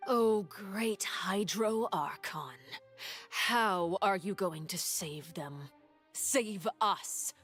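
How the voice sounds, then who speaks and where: An actress playing a poised young woman diplomat in a video game, deliberate and mock-courteous, asking pointed questions with polite menace. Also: medium pitch. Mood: challenging. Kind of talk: dramatic video game voice acting. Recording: faint background music.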